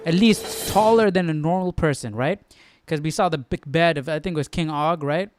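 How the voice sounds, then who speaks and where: A man's voice: fairly high pitch, moderate pace, no room sound, clean studio sound. A man hosting a live Bible study stream with informal commentary, thinking aloud as he goes. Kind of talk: casual study-along commentary.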